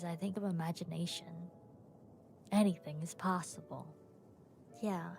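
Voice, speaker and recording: Young woman podcaster, tired, slow and gravelly, soft music underneath. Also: fairly low pitch. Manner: soft, rambling, frequent pauses and little laughs. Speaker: podcast host and streamer. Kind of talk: casual solo podcast chat. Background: soft background music.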